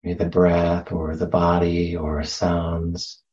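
A man leading a guided meditation as a teacher, speaking gently and unhurriedly with long pauses, on a slightly muffled recording. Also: low-pitched, monotone. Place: meditation center.

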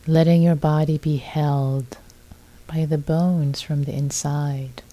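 Very slow, mellow, low-voiced woman guiding meditation. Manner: calm, gentle, soft-spoken. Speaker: meditation teacher. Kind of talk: guided meditation with long pauses.

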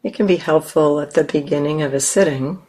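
A woman teacher leading a guided meditation in a gentle, unhurried manner, leaving long pauses between phrases. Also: low-pitched, breathy edge, low-quality recording.

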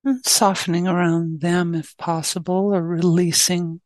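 Female meditation guide, slightly low register, unhurried delivery with spacious pauses, dry sound. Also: level tone, no echo. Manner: gentle, long pauses between short phrases. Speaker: meditation teacher.

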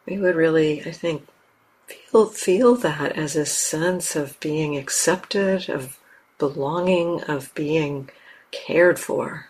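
A woman teaching guided meditation, speaking gently and unhurriedly in short phrases with long pauses. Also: low voice, slightly rough, low-quality recording. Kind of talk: guided meditation, unscripted instruction.

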